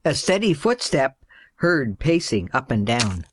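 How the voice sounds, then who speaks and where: A woman's voice: very deep voice, sing-song, measured pace, slightly rough, dry recording. A woman narrating a scripted play, reading out its stage directions.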